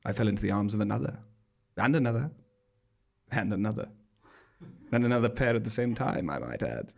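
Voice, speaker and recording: A man's voice: lowish voice, expressive, a little fast, gravelly. A man performing a scripted first-person story on stage in an arch, droll, dramatic manner. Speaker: monologue performer. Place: small theatre stage, live performance.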